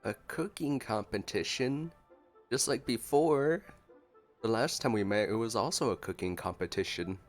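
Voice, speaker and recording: A man's voice: medium pitch, normal speed, soft background music. A man giving casual, playfully joking reaction commentary as a gaming streamer.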